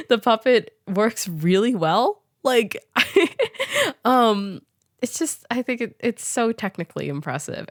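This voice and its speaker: A young woman hosting a casual podcast interview in a giggly, halting, enthusiastic way. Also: mid-range voice, dry recording, clean studio sound. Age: young adult. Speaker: podcast host.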